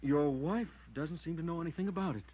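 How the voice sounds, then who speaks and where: An actor playing a plain-spoken, cautious deputy sheriff in a 1950s radio drama, folksy and matter-of-fact. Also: male voice, on the high side, fast talker, husky. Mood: firm. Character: plain-spoken, cautious small-town male deputy sheriff, dryly teasing. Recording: muffled vintage radio broadcast.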